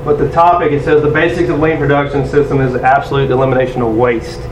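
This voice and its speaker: Loud-voiced man presenting in an echoey hall. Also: medium pitch, fast. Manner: loud, energetic, self-deprecating. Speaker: conference speaker. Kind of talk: presentation talk. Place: large conference room. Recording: echoey room from far off, noisy.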